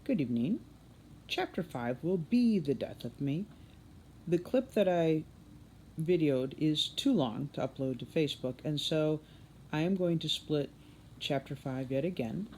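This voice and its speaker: A woman reading a children's novel aloud as an expressive narrator. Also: sits low, unhurried, a little hiss or hum. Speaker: read-aloud storybook narrator, teacher.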